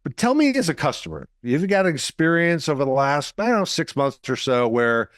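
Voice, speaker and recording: A man's voice: a little high, big pitch swings, moderate pace, dry recording, clean studio sound. A man hosting an interview show, talking in an enthusiastic, chatty way and often repeating words. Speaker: show host.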